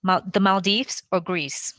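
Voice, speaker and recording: A woman's voice: lowish voice, unhurried, fairly bright tone, no echo, clean studio sound. A woman hosting a podcast interview in a casual, conversational style, asking questions and explaining things to her guest. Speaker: podcast host.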